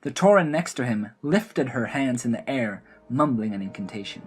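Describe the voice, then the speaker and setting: A woman's voice: very deep voice, animated, moderate pace, slightly rough, faint music behind. A woman narrating a scripted fantasy audio drama as its storyteller.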